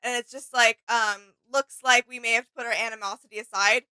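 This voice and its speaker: A female co-host with a smooth, clear voice that sits slightly high, recorded dry and close. She speaks casually and informally, agreeing easily and thinking aloud as she comments. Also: steady tone, a little fast, sharp tone, no room sound. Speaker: co-host of a reaction video channel. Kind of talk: casual chatty reaction commentary.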